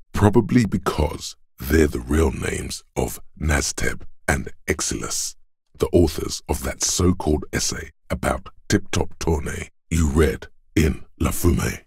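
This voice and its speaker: A man voicing the cold, analytical inner voice of logic in a detective's mind for a video game, with measured, dry, condescending delivery and a very deep, gravelly, husky tone. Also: a little mellow, no echo, exaggerated voice. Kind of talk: video game narration in second person.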